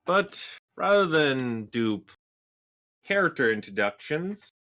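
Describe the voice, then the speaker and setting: A man leading a tabletop game on a live stream as game master, halting and deliberate, with many pauses and fillers. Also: fairly high pitch, very animated, bright, forceful tone.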